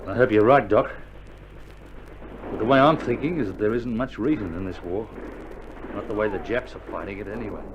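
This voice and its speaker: An actor playing a sick Australian soldier, an anxious but loyal mate with blunt, colloquial speech, in a dramatised wartime radio play. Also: male voice, fairly low pitch, brisk, pauses often, highs cut off, background noise, close to an everyday voice. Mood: uneasy. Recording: old vinyl radio drama, prominent music behind.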